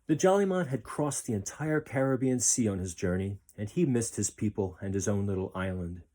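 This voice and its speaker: A man reading a children's story aloud as a storyteller, expressive and deliberate. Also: lowish voice.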